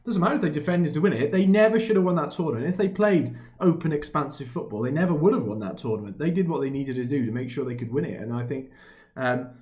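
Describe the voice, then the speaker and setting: A man's voice: a little high, lively intonation, quick pace, a little mellow. A Welsh man in his twenties co-hosting a football podcast, chatting casually and gushing, often repeating words for emphasis. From Wales. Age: 20s. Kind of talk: casual sports chat.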